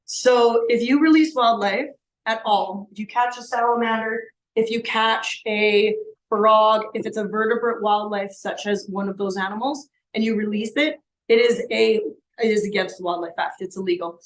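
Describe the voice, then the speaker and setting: A woman in her thirties with a slightly hoarse voice, showing live animals to young children in a classroom. She explains in an animated, friendly teaching way, asking the kids questions as she goes. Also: mid-range voice, low-quality recording. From Canada. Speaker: science educator. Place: elementary school classroom, indoors.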